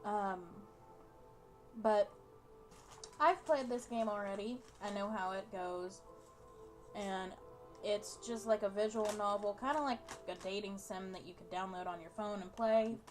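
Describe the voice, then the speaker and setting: A woman's voice: mid-range voice, measured pace, pauses often, soft background music. A young woman hosting a gaming video, chatting casually and reading game text aloud.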